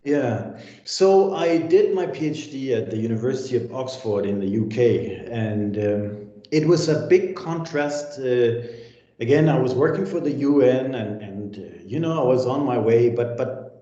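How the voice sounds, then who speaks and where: Reflective Danish-raised man, warm and roomy. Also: medium pitch, a little slow, dull audio. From Denmark. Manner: reflective, many restarts and hesitations. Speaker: senior lecturer. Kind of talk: interview answers.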